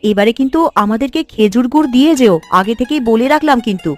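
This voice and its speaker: A woman voicing an expressive, fretful village housewife in a cartoon, with a gossipy, nagging way of talking. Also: a little high, fast, soft background music, lightly acted. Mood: chatty. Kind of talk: cartoon voice acting.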